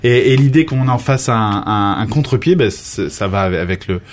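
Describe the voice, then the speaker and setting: A man of Portuguese origin interviewing a guest in a relaxed chat. He talks hesitantly, restarting his sentences and hunting for the right term. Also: fairly low pitch, normal speed, a little hiss or hum. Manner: hesitant, restarts, searches for words. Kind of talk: casual conversation.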